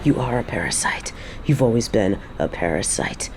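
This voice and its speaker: A woman's voice: rumbling low, expressive, normal speed, background noise. A woman playing a fed-up, defiant mother confronting her toxic ex in a dramatic audio roleplay, scornful and emphatic.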